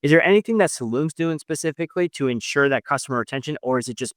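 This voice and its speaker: A man's voice: on the high side, lively intonation, fast, no echo, studio quality. A man hosting a conversational business podcast, speaking emphatically and repeating key phrases for stress. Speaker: podcast host interviewing an entrepreneur.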